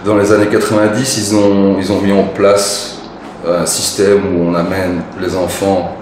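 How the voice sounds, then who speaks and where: A man in his forties with a Belgian French accent, a guest talking casually in an interview, relaxed and hesitant with many fillers. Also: sits low, unhurried. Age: 40s. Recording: echoey room, noisy, mic at a distance.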